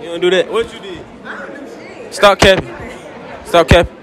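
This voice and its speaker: A man's voice: sits high, fairly even intonation, normal speed, a bit bright, some chatter behind. A teenage boy interviewing classmates with a handheld mic at school, teasing and crude, laughing often. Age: teens. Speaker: street interviewer. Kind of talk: casual hallway interview. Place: school hallways and classrooms, some outdoors.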